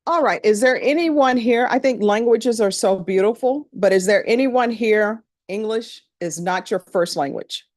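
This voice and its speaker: A woman's voice: fairly high pitch, measured pace, hardly any pauses, a little mellow, no room sound. Louisiana-accented woman judge, dry sound. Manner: conversational, plain-spoken storytelling. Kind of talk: addressing a jury panel in court. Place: courtroom.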